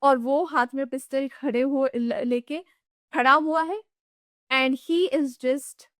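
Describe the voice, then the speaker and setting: A young woman with an Indian accent leads a revision lesson for students. Her voice sits high and bright, recorded dry and close with no room sound. She explains in a chatty, conversational way, mixing languages and leaning on fillers. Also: fairly even intonation, takes it slowly, no echo. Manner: chatty code-switching explainer with many "you know" fillers. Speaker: English teacher.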